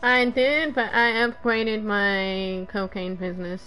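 Slow-talking, sassy woman streamer. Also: medium pitch, level tone, sharp tone, faint music behind, poor audio. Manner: teasing mock-annoyed retorts, swearing. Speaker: game streamer. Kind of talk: casual banter while livestreaming a video game. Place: voice chat over a game stream.

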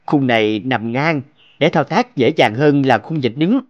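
A man's voice: medium pitch, unhurried, no room sound, dull audio, lightly acted. A man playing an earnest, devoted young scholar in a cartoon, speaking expressively. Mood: instructive. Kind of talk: cartoon voice acting.

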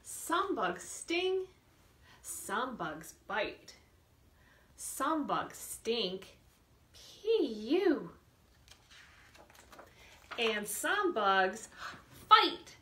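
Lady doing kids storytime, high voice, reads real slow and expressive. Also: a little hiss or hum. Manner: expressive, deliberate reading with drawn-out words and questions to children. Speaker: children's librarian hosting storytime. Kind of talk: read-aloud picture book storytime for young children. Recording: echoey room sound.

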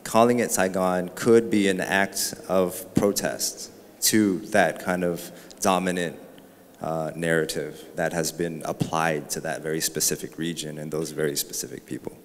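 A man's voice: sits low, fairly even intonation, a little slow. A man born in Vietnam, speaking thoughtfully and with some hesitation into a handheld mic at a panel talk. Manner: thoughtful, hesitant, jokes with the audience. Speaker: artist panelist. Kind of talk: panel discussion. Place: gallery room with a live audience. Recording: reverberant gallery hall.

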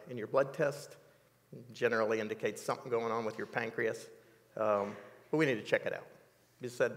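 A man in his sixties telling a personal story in an interview, measured and reflective, with many fillers, on a dark studio set. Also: medium pitch, long reverb tail. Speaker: interview guest.

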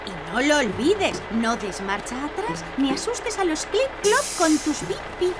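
A woman's voice: high-pitched, very animated, measured pace. A woman playing a capable, cheerful young builder in a Castilian Spanish cartoon dub. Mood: friendly, teasing. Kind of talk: animated children's cartoon dub. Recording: music behind the voice.

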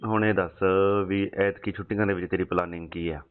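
Deep-voiced man on a muffled phone call. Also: steady tone, brisk. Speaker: soldier on leave, phone caller. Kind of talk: casual intimate phone chat.

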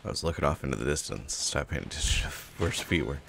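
A man's voice: extremely low pitch, animated, quick pace, creaky, a bit bright, a little hiss or hum. A man giving casual, laid-back commentary while playing a video game, thinking aloud as he goes.

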